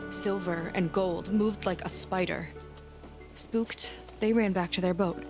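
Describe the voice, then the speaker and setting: A woman's voice: fairly low pitch, steady tone, a little slow. A woman playing a determined, bold young marine scout, speaking candidly in a video game cutscene over music. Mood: grave, earnest.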